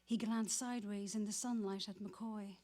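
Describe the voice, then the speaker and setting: A woman's voice: medium pitch, fairly even intonation, normal speed, mellow. A woman giving scripted literary narration in a dramatic reading.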